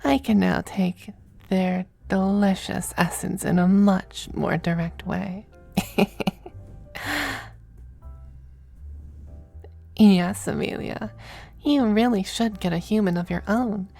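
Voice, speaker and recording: A woman playing a possessive, doting, androgynous male demon lover in an intimate ASMR roleplay, speaking softly and often slipping into whispers. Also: medium pitch, fairly even intonation. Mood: playful, languid. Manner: soft, breathy, unhurried, often dropping to whispers. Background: faint music.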